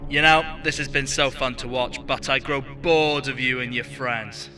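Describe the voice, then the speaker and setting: Taunting radio play killer, super sharp voice, swoops around, mocking and drawn out, music under. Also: male voice, medium pitch, fairly even intonation. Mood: gloating, taunting. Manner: mocking, drawn-out, gloating delivery. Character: taunting theatrical serial killer villain, man. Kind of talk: amateur radio play acting.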